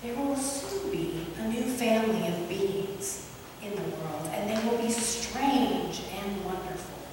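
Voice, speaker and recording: A woman as a slow, solemn old turtle, echoing. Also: mid-range voice, close to an everyday voice. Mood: tender, solemn. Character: wise, gentle, ancient female turtle speaking of God. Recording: echoey hall, distant mic, background noise.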